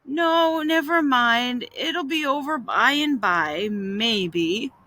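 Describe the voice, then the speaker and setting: A woman's voice: sits high, lively intonation, sharp tone, heavily acted. Woman playing a groaning, pitiful young boy. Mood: pained, pitiful. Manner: groaning, drawn-out, halting delivery. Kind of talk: storybook character voice.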